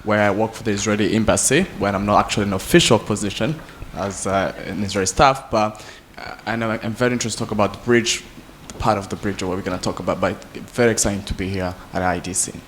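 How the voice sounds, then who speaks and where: A man giving a quick panel self-introduction with fillers. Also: fairly low pitch, fairly even intonation, slight background noise. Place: conference hall.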